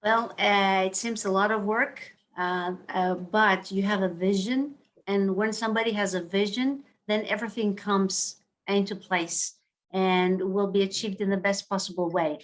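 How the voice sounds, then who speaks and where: A woman academic moderating a panel in a formal, halting way. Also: medium pitch, fairly even intonation, rough recording.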